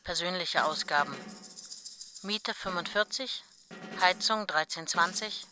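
Woman acting a thrifty wife, lowish voice, itemising, matter-of-fact, radio play, prominent music behind. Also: measured pace, lightly acted. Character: thrifty wife reading out household accounts.

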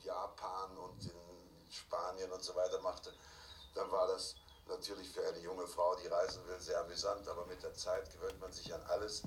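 A man's voice: low-pitched, completely flat delivery, normal speed. A man chatting in a rambling, anecdotal way, recorded at a distance in an echoey room on a noisy archive tape. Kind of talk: casual chat, anecdotal.

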